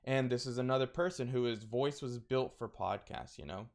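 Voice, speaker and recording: A man's voice: medium pitch, normal speed, darkish tone. A man with a crackly voice chatting casually, rambling through recommendations with lots of fillers and restarts. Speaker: streamer recommending horror content.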